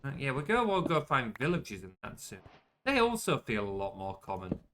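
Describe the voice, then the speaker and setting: A man's voice: medium pitch, big pitch swings, normal speed, fairly bright tone, slight background noise. A young man chatting casually while he streams a game, self-mocking and swearing when things go wrong. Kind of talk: casual gameplay commentary.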